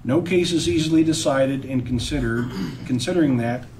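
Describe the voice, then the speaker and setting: A man's voice: medium pitch, darkish tone, far from the mic, low-quality recording. Solemn male judge, faint noise behind. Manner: solemn, formal, deliberate. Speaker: trial judge. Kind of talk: formal address from the bench. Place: indoor courtroom.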